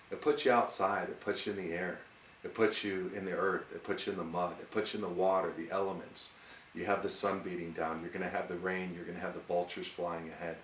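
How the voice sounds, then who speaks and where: A very low-pitched man talks reflectively, as in a documentary interview about his own pursuit. The sound is roomy and set back from the microphone, with a little noise behind it. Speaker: fossil hunter. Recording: roomy, distant mic, faint noise.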